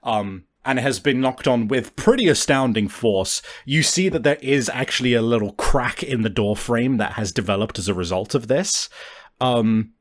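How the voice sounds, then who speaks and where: A man's voice: mid-range voice, normal speed. Guy game mastering a tabletop rpg, casual and sweary, making up the narration as he goes.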